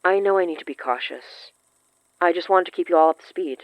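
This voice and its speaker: A woman acting in an audio drama as a wary, thoughtful occult expert who explains things in an earnest, measured way. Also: fairly low pitch, no echo, highs cut off, natural voice. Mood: earnest, cautious.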